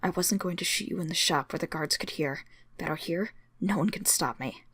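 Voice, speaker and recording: A woman's voice: on the low side, a little fast, fairly bright tone, husky, dry recording, clean studio sound. A woman voicing a feisty, sarcastic young female guard with tense, emphatic delivery in a story reading. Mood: defiant.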